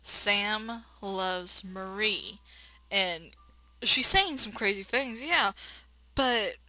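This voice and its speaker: A woman with a bright, crisp-edged voice at a middle pitch hosts a let's play. She moves between dramatic reading of narration and animated, chatty commentary sprinkled with mild folksy exclamations. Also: extremely slow, no room sound, poor audio. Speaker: let's player. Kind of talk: game commentary mixed with dramatic read-aloud narration.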